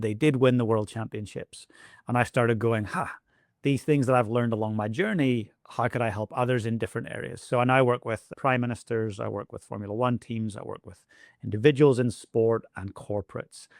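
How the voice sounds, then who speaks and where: An Irish man, a high-performance coach, answering interview questions with steady storytelling explanations. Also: brisk, creaky, no echo, clean studio sound. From Ireland. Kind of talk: interview guest.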